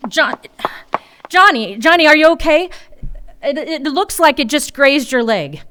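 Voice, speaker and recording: A woman's voice: sits high, big pitch swings, measured pace, bright, forceful tone, heavily acted. A woman playing a dedicated, hard-boiled private detective in a noir radio play, wry and resolute as she narrates. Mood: urgent.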